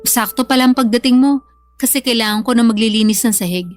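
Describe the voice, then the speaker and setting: A woman's voice: fairly high pitch, quick pace, faint music behind, lightly acted. An actress playing a cold, blunt, demanding estranged mother, curt and dismissive in a radio drama style.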